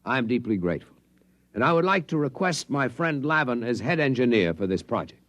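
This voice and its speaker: An actor playing a devoted visionary scientist in 1950s radio drama style, earnest and declamatory. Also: male voice, mid-range voice, sing-song, brisk, fairly bright tone, dry recording, lo-fi sound. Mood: solemn, determined. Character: devoted visionary male scientist of a tiny underwater race.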